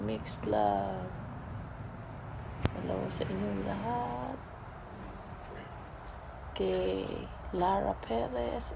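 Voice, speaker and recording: Filipino female vlogger: unhurried, creaky delivery, casual and courteous, mixing in English, over noisy ambience. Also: medium pitch. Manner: polite, informal, mixing in English phrases. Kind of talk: casual vlog narration.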